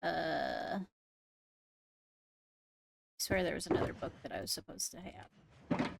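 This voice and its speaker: A woman's voice: fairly low pitch, slow pace, gravelly, very noisy. A woman chatting casually while playing a game with friends. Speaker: player in a Minecraft roleplay group. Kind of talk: casual gaming chat.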